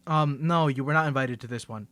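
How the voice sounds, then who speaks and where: A man's voice: mid-range voice, a little fast, a bit bright, no echo, close to an everyday voice. A man playing a nervous young guy hiding his superhero identity from his best friend in an ASMR roleplay, stammering through rambling excuses. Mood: defensive teasing. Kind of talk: ASMR roleplay audio drama.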